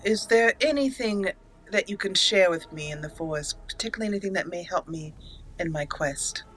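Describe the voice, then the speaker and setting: A woman hosting a game playthrough, reading her character's lines aloud with deliberate, theatrical, questioning phrasing. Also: fairly low pitch, slight background noise, rough recording. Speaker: gaming channel host, role-playing her character.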